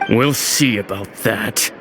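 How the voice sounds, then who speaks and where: An actor playing a shady, indignant troublemaker in a video game, blustering and whining in a creaky voice. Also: male voice, lowish voice, takes it slowly, over music. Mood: grudging. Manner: blustering, pleading.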